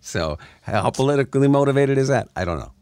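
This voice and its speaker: Male co-host, mid-pitched and hugely animated, tossing jokey asides into casual banter. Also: fast talker, clear, resonant tone, dry recording. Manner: jokey interjections. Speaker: radio show co-host.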